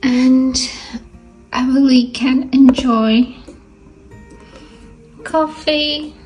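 A woman's voice: high voice, fairly even intonation, very slow, a little mellow, recorded from a distance, soft background music, poor audio. A Latvian woman in her thirties with a Latvian accent, chatting cheerfully and hesitantly in a home vlog; English is not her first language. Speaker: vlogger. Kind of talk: casual vlog chat. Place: bright room at home.

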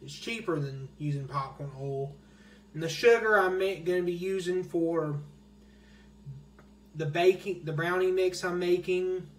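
A man's voice: high voice, unhurried. Plain-spoken man showing his groceries. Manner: plain, matter-of-fact item-by-item show-and-tell. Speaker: vlogger. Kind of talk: casual grocery haul vlog. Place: small indoor room at home. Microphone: handheld phone camera. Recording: echoey room with faint hiss.

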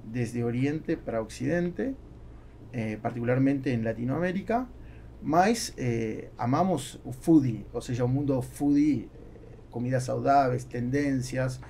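A man in his forties with an Argentine accent, a native Spanish speaker, answering interview questions haltingly and mixing Spanish with Portuguese. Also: medium pitch, creaky, warm tone, a little hiss or hum. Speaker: podcast guest, business owner. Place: small acoustically treated podcast studio. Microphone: studio microphone on boom arm.

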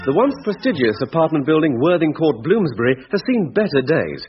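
A man announces a vintage radio comedy in a scripted style. His voice is fairly high, over loud music on a muffled old broadcast. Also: sing-song, fairly quick.

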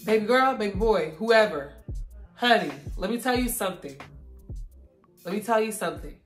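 Young woman vlogger, hoarse and stuffy, preachy and encouraging, roomy echo, soft music under. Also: mid-range voice, animated, moderate pace. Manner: encouraging, preachy, addresses viewers directly. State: hoarse and congested. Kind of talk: casual faith-filled catch-up talk.

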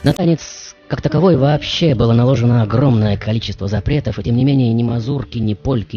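A man playing a passionate, self-assured tango musician who tells his life story in dramatic, deliberate phrases. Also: lowish voice, mellow, natural voice. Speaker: radio show host. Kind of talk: theatrical first-person storytelling as a famous musician. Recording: dull radio recording with music.

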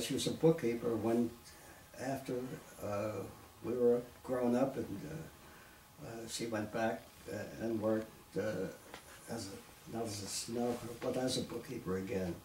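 A man in his nineties from Brooklyn with a Brooklyn accent, recalling his family in an oral history interview, halting and clearing his throat. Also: mid-range voice, fairly even intonation, a little mellow. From Brooklyn, New York. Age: 90s. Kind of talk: reminiscing interview. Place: living room, seated in armchair. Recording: roomy echo, distant mic, faint hiss.

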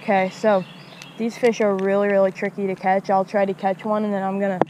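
A woman's voice: mid-range voice, flat delivery, fairly quick, highs cut off, noisy background. Chatty woman vlogging by a creek. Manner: chatty, repeats words, addresses viewers as guys. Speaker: fishing vlogger. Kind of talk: casual outdoor vlog narration. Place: outdoors by a small creek. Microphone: action camera.

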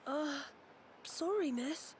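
High-pitched breezy kid. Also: female voice, expressive, takes it slowly, a little mellow, a little hiss or hum. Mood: sheepish. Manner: casual, colloquial, breezy. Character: chirpy, informal young researcher kid, slightly sheepish. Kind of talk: video game voice acting.

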